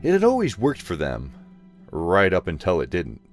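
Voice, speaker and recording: Low-voiced, expressive male storyteller. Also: normal speed, faint music behind. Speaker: narrator. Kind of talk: scripted story narration.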